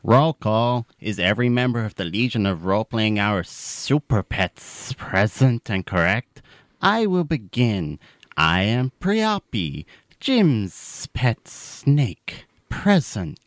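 A man voicing an officious pet snake who chairs meetings in a comedy audio drama, with a deliberate manner. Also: on the low side, sing-song.

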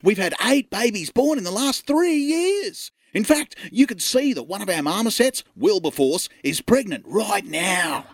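Man acting a husky, chatty zookeeper. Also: high voice, very animated, a little slow, few pauses, no echo, clean studio sound, full character voice. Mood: helpful. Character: helpful, chatty male zookeeper, easily flustered. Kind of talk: comedy radio sketch acting.

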